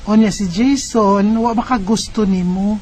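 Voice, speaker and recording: A woman's voice: medium pitch, a little slow, a little mellow, slight background noise, close to an everyday voice. A woman acting a young confidante with a Bisaya accent in a radio drama, speaking in a knowing, insistent way. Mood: concerned. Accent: Filipino, Bisaya. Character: teasing, concerned young female confidante of lovesick girl.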